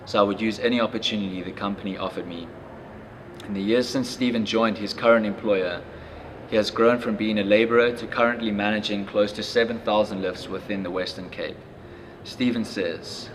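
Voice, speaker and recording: A young man with a low, steady voice that barely rises or falls. He reads aloud, then talks things over in an earnest, thoughtful way, pausing on "um" and "you know". A faint hiss of noise sits under him. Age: young adult. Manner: earnest, thoughtful, with many fillers. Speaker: young reader reacting to a story. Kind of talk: reading aloud, then casual reflection.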